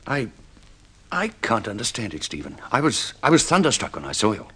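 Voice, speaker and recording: Husky, hesitant gentleman friend. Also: on the low side, wildly swooping pitch, brisk, exaggerated voice. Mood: shocked. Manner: hesitant, concerned. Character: well-dressed, sympathetic old friend, shocked and awkward. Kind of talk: old-time radio drama acting.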